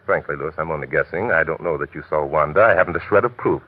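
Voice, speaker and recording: A man playing a shrewd, unruffled detective in a classic radio mystery, polite, methodical and smoothly insistent, with a husky voice. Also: extremely low pitch, quick pace. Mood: calm, probing. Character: shrewd, unruffled male detective, courteous and probing. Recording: tinny, narrow-band old radio recording.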